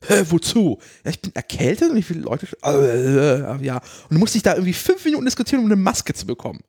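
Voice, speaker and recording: A man's voice: fairly high pitch, big pitch swings, quick pace, darkish tone, husky, no room sound, studio quality. A man in casual chat, giving firm opinions and telling a personal story.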